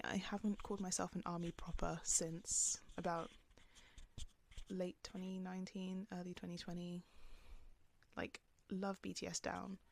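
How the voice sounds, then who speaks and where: Young woman vlogger, soft calming voice, lowish with some fry, loose filler-heavy chat. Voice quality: calm, soft voice. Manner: rambling, filler-heavy with many "like"s. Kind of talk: casual talk to camera. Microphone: camera on the speaker.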